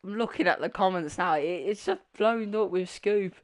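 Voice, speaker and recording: A man commentating a sim racing league with excitement, his voice strained and fading. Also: moderate pace. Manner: excitable, voice climbing high at big moments. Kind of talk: excited live race commentary. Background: music.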